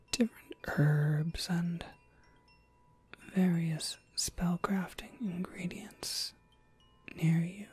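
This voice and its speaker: A woman playing a gentle, attentive witch shopkeeper in a whispered ASMR roleplay, breathy, polite and softly reassuring. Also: fairly low pitch, no room sound, exaggerated voice.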